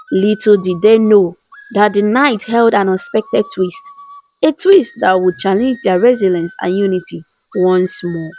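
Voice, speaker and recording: A woman storyteller reading a scripted folktale in a dramatic, formal manner. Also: mid-range voice. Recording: slightly dull lo-fi voice-over. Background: soft background music with flute.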